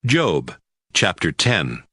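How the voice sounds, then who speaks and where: A man's voice: low voice, wildly swooping pitch, drawn-out words, bright, forceful tone, a little hiss or hum. A man narrating scripture from a script, announcing chapters and speakers in a formal reading.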